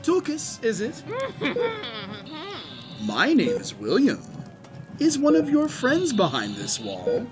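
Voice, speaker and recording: A man playing a menacing, commanding villain with a deliberate, sardonic manner in an audio drama. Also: very high voice, huge pitch swings, full character voice. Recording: loud music under the voice.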